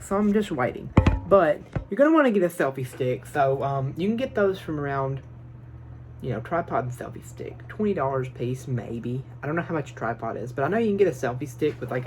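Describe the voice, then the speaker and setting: A man giving advice in a casual phone vlog from his bedroom, talking nervously and quickly, rambling with lots of fillers and restarts. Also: mid-range voice, a little mellow, a little hiss or hum. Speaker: vlogger. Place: small bedroom. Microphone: phone built-in mic, no microphone.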